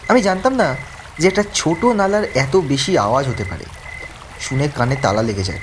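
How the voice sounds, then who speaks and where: Man narrating a ghost story, lively pitch. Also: moderate pace, noisy background. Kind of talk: scripted first-person horror story narration.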